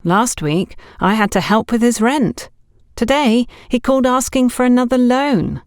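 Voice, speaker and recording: Woman voicing an exasperated young saleswoman, pitched up. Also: measured pace, dry recording, clean studio sound, lightly acted. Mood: aggrieved, complaining. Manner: indignant, dramatic complaining. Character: exasperated young saleswoman fed up with her scrounging boyfriend. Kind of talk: audiobook character dialogue.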